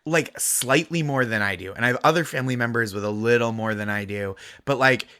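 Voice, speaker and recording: A man's voice: mid-range voice, fairly quick. Chatty man with light Massachusetts accent. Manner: animated, chatty, laughs often. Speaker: podcast guest co-host. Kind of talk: casual chat.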